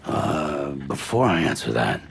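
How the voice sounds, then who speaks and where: A weary, reticent courier in a video game drama. The voice is extremely low and full of gravel, and the delivery is terse and guarded. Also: male voice, sing-song, unhurried, slight background noise, exaggerated voice. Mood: guarded, uneasy. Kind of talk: naturalistic video game cutscene acting.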